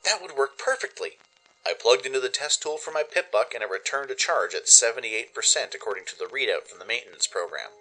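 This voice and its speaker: A man narrates a scripted radio drama in the first person. His voice is bright and mid-pitched, expressive and dramatic, with music playing behind him. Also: a little fast, low-quality recording.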